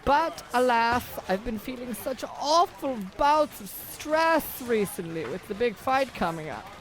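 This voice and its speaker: A man's voice: very high voice, expressive, measured pace, fairly bright tone, heavily acted. A man playing a naive, easily confused young Bavarian boxer with a theatrical, flowery way of talking in a comic radio play. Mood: grandiose, effusive. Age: young adult. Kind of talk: comic old-time radio play acting.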